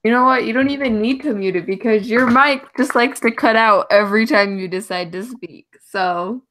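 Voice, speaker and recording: A woman's voice: fairly high pitch, normal speed, sharp tone. Teasing young woman hosting a stream. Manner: teasing, joking, laughs often. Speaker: stream host. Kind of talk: casual chat.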